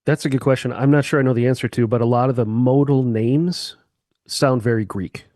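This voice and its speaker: A man's voice: medium pitch, fairly even intonation, fairly quick, mellow, no room sound. A man, a musician guest on a chat podcast, explaining eagerly with sweeping comparisons into a close mic.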